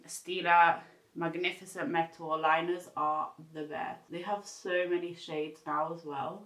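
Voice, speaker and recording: A woman's voice: on the low side, bright, forceful tone, reverberant. A woman vlogger talking casually through a makeup tutorial, chatty and rambling with lots of filler words. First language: English. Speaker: beauty vlogger.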